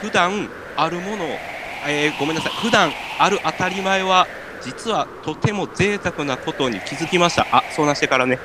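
A man plays a stranded podcaster, the earnest and exasperated straight man of an amateur radio comedy. He has a fairly high voice and a Kansai accent, pleading and retorting. There is clear noise behind him. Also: moderate pace, natural voice.

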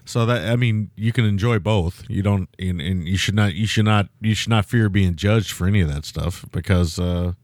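A man with a loud, low voice talks through a movie in relaxed podcast chat. He rambles, doubles back and fills gaps with ums and uhs. The sound is dry, with no room in it. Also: normal speed, hardly any pauses, no echo. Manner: rambling, many fillers and restarts. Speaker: podcast co-host. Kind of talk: casual film-review chat.

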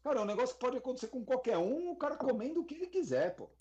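A man with a Brazilian accent chatting casually as a podcast co-host, expressive and full of slang as he gives advice. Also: extremely high pitch, racing, lo-fi sound. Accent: Brazilian Portuguese. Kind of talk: casual chat among running friends.

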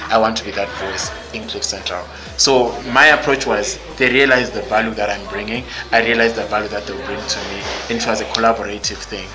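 Man, young, outspoken, fairly low voice, music in the background. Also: fairly even intonation, fairly quick, few pauses, sharp tone, rough recording. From Zimbabwe. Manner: outspoken and emphatic. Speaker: podcast guest. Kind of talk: casual interview talk. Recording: music playing clearly underneath.

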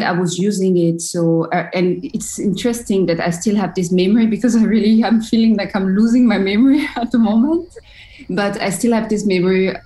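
A woman's voice: mid-range voice, a little mellow, slight background noise. A woman in her thirties from Côte d'Ivoire, speaking as an interview guest with a thoughtful manner and many restarts. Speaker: guest, shea butter entrepreneur. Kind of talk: casual interview conversation. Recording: roomy echo.

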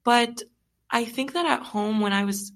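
A woman's voice: on the high side, a little slow, a bit bright. A young adult woman as a podcast guest, talking casually with many fillers and frequent laughs. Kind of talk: casual interview conversation.

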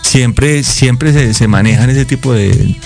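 A man's voice: medium pitch, slow, gravelly, darkish tone, faint music behind. A man from Colombia with a Colombian accent, a guest in a casual interview who explains things at length, listing examples.

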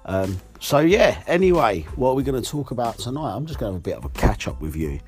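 A hoarse young man hosting his podcast alone, talking candidly and rambling with fillers. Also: mid-range voice, very animated, fast, soft background music. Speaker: podcast host. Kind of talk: solo podcast monologue.

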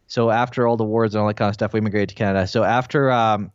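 A man in his forties, born in Bosnia with Croatian as his first language, telling his story as a guest in a relaxed, casual interview. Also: lowish voice, rapid-fire, no echo. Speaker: guest, entrepreneur. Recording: dull lo-fi dry sound.

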